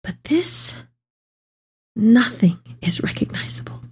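A woman's voice: a little high, very animated, slow pace, darkish tone, breathy edge, whispered, exaggerated voice. A woman playing an elderly, devout mother in a dramatic reading of letters to her son, with tender, heartfelt delivery. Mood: grieving, shaken. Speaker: dramatic reader. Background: music.

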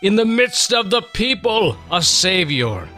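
A man's voice: high-pitched, very animated, unhurried, bright, forceful tone, faint music behind, voice pushed up, full character voice. A man voicing an old Hebrew prophet, fervently exclaiming praise to God in a dramatic Bible story. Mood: exultant.

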